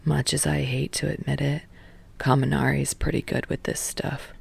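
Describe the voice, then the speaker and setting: A woman voicing a cool young woman in an audiobook story, with a dry, wryly teasing, deadpan manner. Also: a bass voice, moderate pace, gravelly, slightly rough, pitched below the performer's own voice, full character voice.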